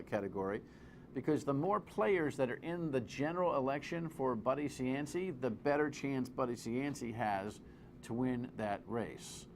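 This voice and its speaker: Wry, gravelly male talk show host. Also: mid-range voice, slight background noise. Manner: informal, wry, emphatic, slangy. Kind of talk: off-the-cuff TV commentary and interview.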